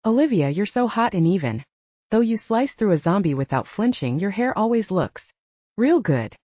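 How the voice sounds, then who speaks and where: A woman's voice: medium pitch, very animated, moderate pace, warm tone, no echo. A woman voicing a big, insecure teenage younger brother in an audiobook, earnest, blurting and awkward. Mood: fumbling. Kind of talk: audiobook character dialogue. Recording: dull, slightly muffled audio.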